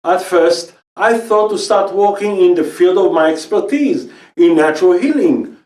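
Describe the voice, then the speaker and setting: A man in his fifties from Israel, a native Hebrew speaker, giving an earnest scripted pitch about quitting smoking in short phrases. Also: normal speed, darkish tone, reverberant, far from the mic. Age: 50s. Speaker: natural healing expert and quit-smoking coach.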